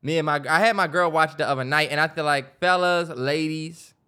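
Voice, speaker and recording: A man's voice: on the high side, a little fast, pushed and bright, smooth. American man, slangy podcast co-host. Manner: slangy, profane, emphatic advice-giving. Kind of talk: casual chat.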